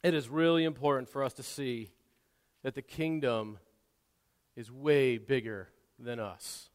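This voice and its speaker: A man preaching a sermon, swinging between quiet asides and loud, impassioned exhortation, often repeating and restarting words. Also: mid-range voice, a little slow. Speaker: pastor. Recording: long hall reverb.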